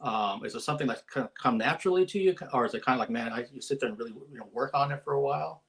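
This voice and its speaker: A man hosts a live-streamed interview over a video call, his voice mid-pitched. He is friendly and chatty, leaning on fillers and restarts as he asks his questions. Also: very fast, creaky, a bit bright. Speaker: interviewer, host.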